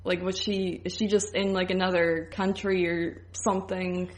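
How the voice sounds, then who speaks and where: A woman's voice: lowish voice, flat delivery, normal speed, poor audio. A woman co-hosting a podcast, talking through a film in casual, conversational review chat. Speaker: podcast co-host.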